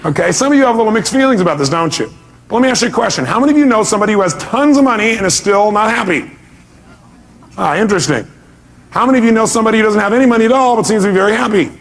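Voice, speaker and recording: A man's voice: sits high, animated, very fast, slight background noise. A man speaking as a motivational seminar speaker, emphatic, putting rhetorical questions to his audience and often answering them himself.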